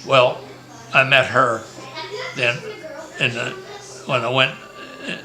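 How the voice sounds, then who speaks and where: A man's voice: medium pitch, flat delivery, slow pace. An older man from Maryland, a grandfather telling his life story in a rambling, joking way during a casual family interview at home. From Maryland, USA.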